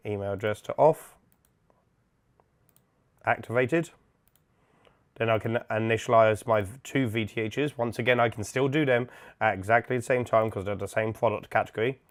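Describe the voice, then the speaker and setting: Male tutorial presenter, methodical and informal, explains each step plainly. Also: lowish voice. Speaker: instructor, product demonstrator. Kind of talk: software setup tutorial, step-by-step walkthrough.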